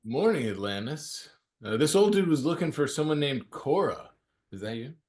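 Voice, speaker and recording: A man's voice: on the high side, sing-song, normal speed, darkish tone. A man playing a laid-back, friendly young ski guy in a screenplay table read, casual and teasing. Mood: casual surprise. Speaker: actor at a script table read. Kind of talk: casual naturalistic screenplay reading.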